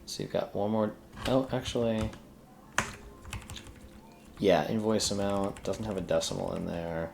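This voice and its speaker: A man with a deep-set, low voice presents a casual programming walkthrough. He speaks informally and hesitantly, working out his thoughts out loud. Faint background noise and keyboard typing sit behind the voice. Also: steady tone, measured pace, creaky, pauses often. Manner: informal, hesitant, thinking aloud while working. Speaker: host of a programming tutorial. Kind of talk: casual screen-recorded coding walkthrough, thinking aloud.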